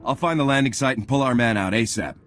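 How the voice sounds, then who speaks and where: A man's voice: medium pitch, fast talker, a bit bright, lightly acted. A man playing a resolute, duty-bound special forces captain in a video game, firm and plain-spoken. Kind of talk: scripted video game dialogue.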